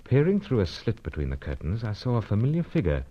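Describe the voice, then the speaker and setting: Jaunty secret agent, animated. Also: male voice, lowish voice, quick pace. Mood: dramatic narration. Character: suave British male secret agent, jaunty, unflappable, narrating. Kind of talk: old radio serial acting and narration. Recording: dull old radio recording.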